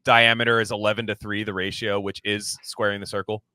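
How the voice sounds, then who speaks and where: A man's voice: lowish voice, little pitch movement, fairly quick, pushed and bright, clean tone, no echo. A man hosting a casual podcast, rambling through his opinions and repeating himself for emphasis. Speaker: podcast host.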